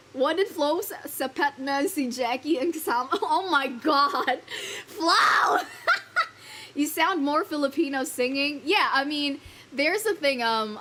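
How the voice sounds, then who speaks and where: A woman's voice: high voice, bright, forceful tone, slight background noise. A woman streaming live, chatting loosely with her viewers, laughing often, swearing casually and fumbling her lines. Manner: giggly, rambling, swears casually. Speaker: virtual streamer.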